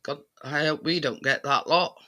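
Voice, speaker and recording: A man with a very bright, sharp voice pitched on the high side. He chats casually and rambles, breaking off and circling back as he hunts for the right word. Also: steady tone. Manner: rambling, halting, searching for words. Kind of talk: casual chat.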